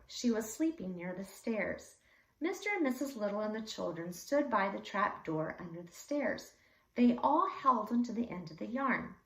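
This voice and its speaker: A woman reading a children's story aloud expressively, with deliberate, dramatic emphasis for young listeners. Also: medium pitch. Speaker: read-aloud storyteller for schoolchildren. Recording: echoey room, slightly distant mic.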